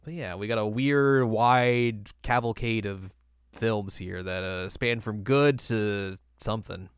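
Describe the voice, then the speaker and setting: A man's voice: on the low side, takes it slowly, clear, resonant tone, no echo, clean studio sound. A man hosting a podcast in a casual, chatty style. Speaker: podcast host. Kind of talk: casual chat about video game films.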